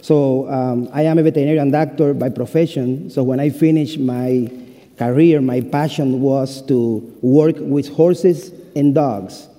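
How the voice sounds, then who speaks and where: A man's voice: medium pitch, fairly even intonation, unhurried. A man in his forties from Cuba, speaking English with a Cuban Spanish accent, preaching a chapel sermon with humor and personal stories. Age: 40s. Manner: humorous, warm storytelling with self-deprecating jokes. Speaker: pastor. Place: university chapel. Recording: reverberant chapel hall.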